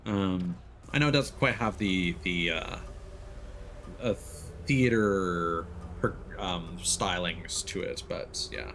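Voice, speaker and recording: Low-pitched man streaming a video game. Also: slow, a bit bright, slight background noise. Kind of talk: casual livestream gameplay commentary, explaining to chat. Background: music behind the voice.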